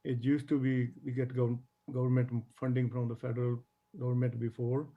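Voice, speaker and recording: Male candidate, halting and informal, muffled audio. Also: medium pitch, flat delivery. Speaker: council candidate. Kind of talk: candidate speech at a forum.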